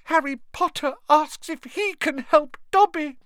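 A man voicing a small, timid and devoted male house-elf who squeaks, pleads and speaks of himself in the third person. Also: measured pace, fairly bright tone, no echo, studio quality. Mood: adoring. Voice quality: squeaky, breathless, high. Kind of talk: audiobook character voice.

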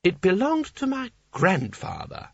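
Guy putting on a drunk dreamy old seraph, high and slow, dull dry sound. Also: huge pitch swings, gravelly, slightly rough, no echo, exaggerated voice. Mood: dreamy tipsy. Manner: slow, wandering, hiccuping. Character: tipsy, dreamy old male seraph, vague and rich.

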